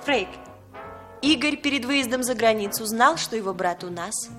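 Actress as cool, calculating female agent, 1960s Soviet radio play. Higher voice, lecturing, self-assured delivery. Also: animated, normal speed, over music, close to an everyday voice. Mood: businesslike, confident.

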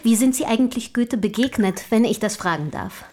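A woman's voice: fairly high pitch, expressive, a little fast, darkish tone. An actress playing an eager, self-assured young noblewoman writer of the early 1800s in a radio play, with formal, inquisitive diction. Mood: inquisitive, polite. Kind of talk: German radio play acting.

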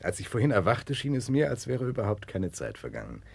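Male aristocrat, low voice, melancholy prince, courteous yet clipped and evasive, 1960s radio drama style. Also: wildly swooping pitch, fairly quick, creaky, a little mellow, breathy edge, no room sound, exaggerated voice. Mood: nostalgic. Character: melancholy aristocratic prince, polite but uneasy. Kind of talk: 1960s German radio play acting.

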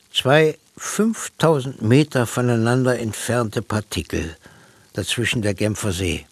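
An actor playing a pensive, cerebral man in a literary radio play monologue, speaking in a measured, reflective manner. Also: fairly low pitch, slightly rough, no echo, exaggerated voice. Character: pensive man musing on mind, matter and dreams.

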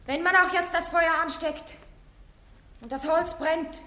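A woman's voice: very high voice, fairly even intonation, unhurried, pushed and bright, heavily acted. An actress playing a defiant young peasant woman on trial, speaking steadfast and unyielding. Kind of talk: radio play acting.

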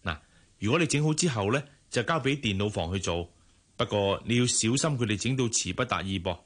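An actor playing a courteous, hardworking general manager in a radio drama, measured and polite, with a Hong Kong Cantonese accent. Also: male voice, fairly low pitch, animated. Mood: businesslike. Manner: measured, polite, familiar with subordinate.

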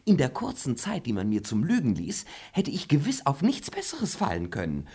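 A husky, sly servant in a classic radio play, swinging pitch, teasing. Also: male voice, wildly swooping pitch, normal speed, darkish tone, heavily acted. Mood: mocking. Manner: teasing, laughs at his master. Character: cheeky scheming young manservant, mocking liar. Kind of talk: stage radio play acting.

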